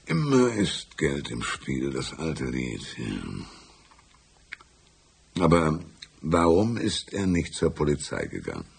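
Old country constable in a german radio play, super low husky voice, folksy, shrewd, takes his time. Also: huge pitch swings, takes it slowly, long pauses, exaggerated voice. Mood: musing, unhurried. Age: older. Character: folksy old male small-town constable, shrewd and easygoing. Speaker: voice actor. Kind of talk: German radio drama dialogue.

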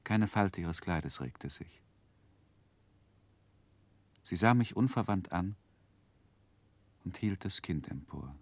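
An actor playing a grown man who soberly recalls his childhood, narrating a 1960s radio play in a measured, reflective way. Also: deep voice, animated, no room sound, exaggerated voice. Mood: wistful, reflective.

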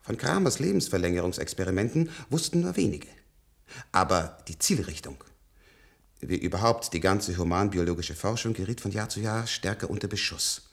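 An actor in a German radio play, playing a weary, very old scientist who talks hesitantly and reflectively in a husky, gravelly voice. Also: male voice, deep voice, animated, moderate pace. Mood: explaining, wry. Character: weary, very old scientist with a longevity-treated middle-aged body.

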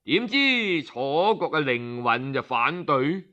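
A man with a Cantonese accent narrating a traditional story in a colloquial, expressive storytelling style. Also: a little high, takes it slowly. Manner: colloquial, expressive, with sighs and exclamations. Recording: slightly dull, lo-fi audio.

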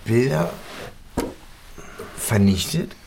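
An actor playing a sceptical but concerned young friend, talking laconically in naturalistic radio-play dialogue. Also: male voice, medium pitch, expressive, extremely slow, vocal fry, darkish tone, a little hiss or hum, heavily acted.

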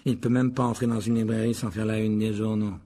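A man voicing a snide schoolboy bully in an audiobook, taunting and sarcastic, with a husky voice. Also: medium pitch, steady tone, a little fast, darkish tone. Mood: mocking. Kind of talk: audiobook character voice.

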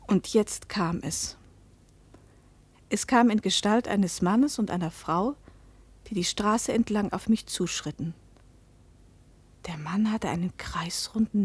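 Troubled dreamy woman, radio play, heavily breathy, hushed, introspective, measured delivery. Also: medium pitch, no echo. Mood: uneasy, fearful. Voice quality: breathy, often dropping to a whisper. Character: troubled woman mistaken for another, guarded and dreamy. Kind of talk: German radio play narration and dialogue.

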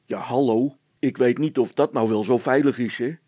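A man voicing a gruff village constable in a children's audiobook, blustering and dismissive but secretly timid. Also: mid-range voice, normal speed, mellow, no echo, natural voice. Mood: blustering, uneasy.

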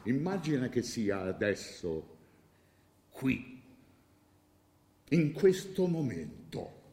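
A man's voice: mid-range voice, very animated, extremely slow, warm tone, exaggerated voice. An actor playing a weary, forgetful old king who sounds bewildered and evasive, in a theatrical style. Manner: bewildered, answering with questions. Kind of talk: theatrical stage drama acting. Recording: echoey stage room, faint background noise.

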